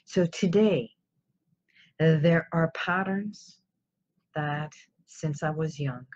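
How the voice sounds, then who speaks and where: A woman with a notably low, husky voice speaks at a very unhurried pace. She is a native Armenian speaker, giving an informal motivational talk in an emphatic, explanatory way and putting questions to her viewers. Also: a little mellow, muffled recording. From Iran. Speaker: host of a self-help live show.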